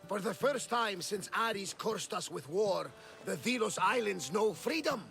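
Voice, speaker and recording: A man's voice: high voice, animated, measured pace, gravelly, fairly bright tone, heavily acted. An actor playing a proud, hearty one-eyed male lieutenant in a game cutscene with music.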